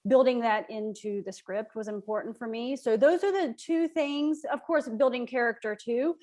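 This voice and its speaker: A woman playwright giving a casual, reflective interview answer, pausing often as she thinks. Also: a little high, a little mellow.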